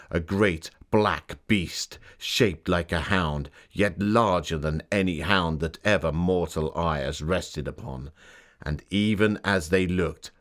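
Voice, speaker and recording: Deep-voiced Victorian gentleman storyteller. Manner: measured, formal, theatrical, dramatic delivery. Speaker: voice actor. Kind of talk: dramatic scripted storytelling for an exhibit.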